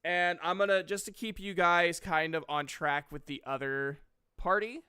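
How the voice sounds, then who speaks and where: Man, game master, clear bright voice, slightly high, unhurried casual narration. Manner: apologetic, self-conscious. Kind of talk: narrating and refereeing an online tabletop game, casual chat.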